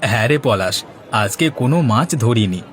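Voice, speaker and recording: Actor as good-natured village husband, noisy track. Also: male voice, on the low side, expressive, brisk, a faint crowd, close to an everyday voice. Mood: easygoing, friendly. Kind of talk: Bengali moral-story cartoon dubbing.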